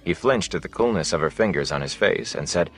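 A man narrating a fantasy novel as an audiobook, in a measured, expressive storytelling manner. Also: low-pitched, gravelly, soft background music.

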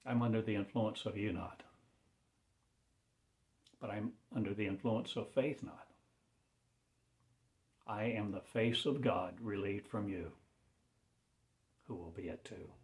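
A man delivering a rambling spiritual proclamation as a self-styled preacher, in solemn, halting fragments that often sink into hushed, near-whispered phrases. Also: on the low side.

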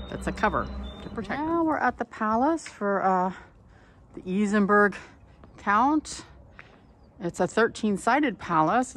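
A woman's voice: lowish voice, a bit bright, slight background noise. A woman narrating a walking tour outdoors, chatting casually and pointing out the sights as she films. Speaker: tour guide. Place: outdoors on old town streets.

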